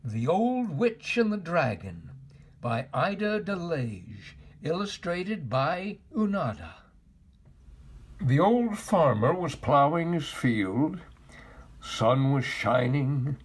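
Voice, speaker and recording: An older man reading a children's story aloud in an expressive, deliberate, dramatic way. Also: medium pitch, breathy edge. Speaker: storyteller, actor.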